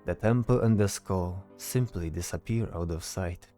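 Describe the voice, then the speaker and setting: A man's voice: deep voice, moderate pace, dark tone, faint music behind. A man giving scripted fantasy narration as a storyteller. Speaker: narrator, game master.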